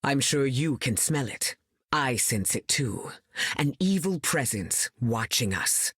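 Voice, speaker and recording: An actress playing a regal, composed mutant heroine in a video game, with a stately, measured manner and dry wit. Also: female voice, a bass voice, expressive, a bit bright, breathy edge, no echo, full character voice. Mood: composed, grave. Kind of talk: video game character lines.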